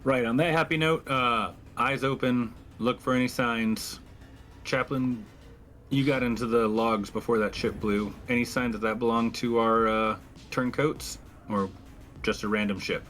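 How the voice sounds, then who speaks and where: A man's voice: medium pitch, fairly even intonation, a little slow, fairly bright tone. A man playing a tabletop roleplaying game, talking in character to his fellow players. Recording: music playing underneath.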